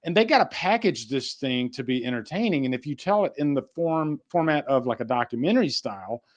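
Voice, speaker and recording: Male podcast co-host, mid-pitched, conversational, feeling his way through explanations. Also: animated, a little fast. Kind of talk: casual film and TV discussion.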